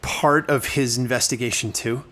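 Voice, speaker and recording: A man's voice: medium pitch, steady tone, normal speed, sharp tone, natural voice. A man playing an evasive informant in his thirties in an audio drama, with a guarded, clipped, needling way of talking. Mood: agitated, defiant. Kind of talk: naturalistic audio drama dialogue.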